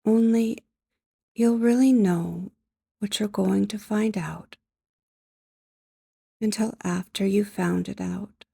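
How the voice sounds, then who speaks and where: A woman leading guided sleep hypnosis in a soft, breathy, near-whispered voice, gentle and measured, with long pauses, close on the microphone. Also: mid-range voice, steady tone, no room sound.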